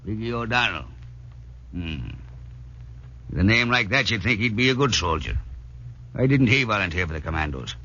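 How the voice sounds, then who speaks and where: Forceful, raspy Irish sergeant major. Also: male voice, on the low side, animated, moderate pace, pauses often, full character voice. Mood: sardonic. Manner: sarcastic, commanding. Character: tough, sardonic Irish commando sergeant major drilling recruits. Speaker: actor in a wartime radio drama. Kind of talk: 1940s radio acting. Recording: dull vintage 1940s radio recording.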